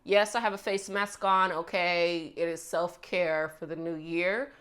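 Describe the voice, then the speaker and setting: A woman's voice: lowish voice, fairly even intonation, unhurried, fairly bright tone. A young woman with a congested voice from a cold, chatting casually through her hair-care routine at home. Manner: chatty, upbeat, walks through each step. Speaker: beauty vlogger.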